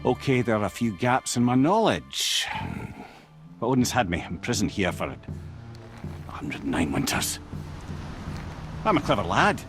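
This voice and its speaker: An actor playing a wise, witty and boastful captive sage in a video game, speaking in a wry, theatrical way. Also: male voice, fairly low pitch, sing-song, a little slow, pauses often, music in the background. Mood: wry, explaining. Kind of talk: dramatic game acting.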